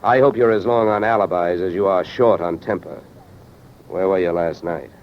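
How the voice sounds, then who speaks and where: A man's voice: low-pitched, moderate pace, a little hiss or hum, natural voice. An American man playing a wry, sardonic hard-boiled insurance investigator in old-time radio detective style. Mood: cool, needling. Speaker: lead actor and narrator. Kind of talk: 1940s-50s radio detective acting. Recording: dull old radio recording with music.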